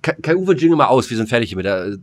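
A man with a German accent bantering casually as a podcast host, in a halting, rambling way that often stumbles. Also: medium pitch, sing-song. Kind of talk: casual banter between friends.

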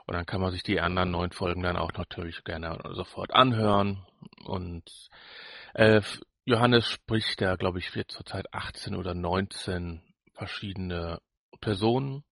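A man co-hosting a podcast in casual chat, husky and creaky, rambling and searching for words. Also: low voice, steady tone, unhurried, fairly bright tone, dry recording, studio quality. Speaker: podcast co-host.

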